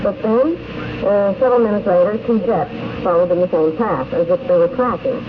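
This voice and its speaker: A woman speaks earnestly to an interviewer, telling her account in measured, articulate sentences. It is an old radio recording with a dull sound and plain background noise. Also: medium pitch. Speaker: convention attendee being interviewed. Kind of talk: unscripted personal account in interview. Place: convention in the countryside.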